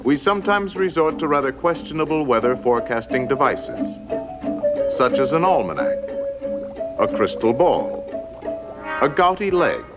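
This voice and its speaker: A man's voice: on the high side, normal speed, pauses often. A man giving playful, theatrical scripted narration in the manner of a 1950s educational film. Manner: theatrical, expressive phrasing. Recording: old film soundtrack under loud music. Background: loud musical score.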